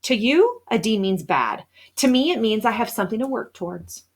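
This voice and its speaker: A woman's voice: on the high side, very animated, normal speed. A woman reading a storybook, voicing a small, nerdy, starry-eyed genius boy who lectures earnestly.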